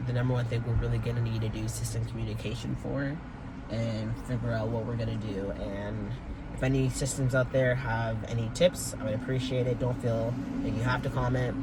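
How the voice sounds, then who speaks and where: Hesitant young man rambling in a car. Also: mid-range voice. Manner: hesitant, rambling, many fillers, casual swearing. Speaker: vlogger. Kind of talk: rambling personal vlog. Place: inside a car. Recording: car noise in background.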